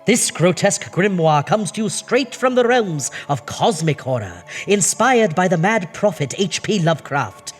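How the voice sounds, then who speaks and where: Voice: medium pitch, big pitch swings, normal speed, hardly any pauses, faint music behind, natural voice. An actor playing an eerie, campy horror show host, theatrical and full of puns and alliteration. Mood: spooky, gleeful. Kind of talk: campy horror anthology hosting.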